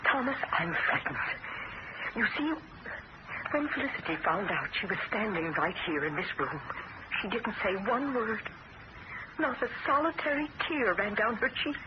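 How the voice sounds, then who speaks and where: A woman's voice: medium pitch, animated, normal speed, heavy background noise, natural voice. Woman playing a distraught mother, muffled old radio. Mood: grave, frightened. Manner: pleading, dramatic. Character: distraught, anxious middle-aged widowed mother. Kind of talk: vintage radio drama acting.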